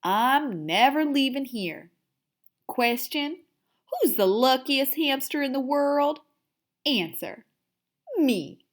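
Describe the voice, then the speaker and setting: Storybook hamster diarist, female reader: high-pitched, melodramatic, big swoops, theatrical emphasis for young listeners. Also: lively intonation. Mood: blissfully content. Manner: theatrical, with drawn-out emphasis and hushed asides. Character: excitable, dramatic little hamster telling his diary. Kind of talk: expressive children's read-aloud.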